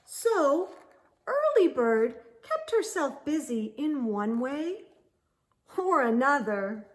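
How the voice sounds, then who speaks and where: Woman reading a picture book to kids, high voice, really slow and deliberate, stretches words out, expressive. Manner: measured, deliberate phrasing with drawn-out words. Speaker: children's storytime host and reader. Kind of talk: expressive picture-book read-aloud for children.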